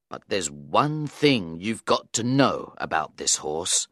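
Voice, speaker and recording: A woman's voice: very deep voice, fairly bright tone, dry recording, studio quality, pitched below the performer's own voice, heavily acted. A woman voicing a sly, smiling male magician in a storybook reading, with a knowing, teasing manner.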